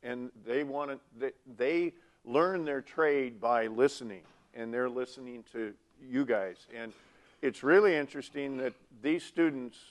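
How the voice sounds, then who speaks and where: A man's voice: medium pitch, unhurried. Reflective middle-aged male professor. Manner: reflective, lecturing. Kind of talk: panel discussion.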